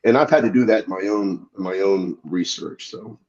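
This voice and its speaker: A man with a fairly low voice talks through his research in a loose, unhurried way, with lots of filler words. His audio comes through muffled and lo-fi, like a remote call-in line. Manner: relaxed, conversational, lots of fillers. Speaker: guest demonologist and researcher. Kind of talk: podcast interview.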